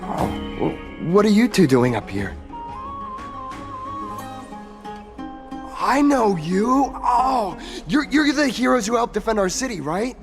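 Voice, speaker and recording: An actor playing an excitable, bashful young explorer in a video game, stammering eagerly as he talks. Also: high-pitched, normal speed, music in the background. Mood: flustered, admiring. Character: excitable, bashful young male would-be explorer of a holy city. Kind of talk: video game character acting.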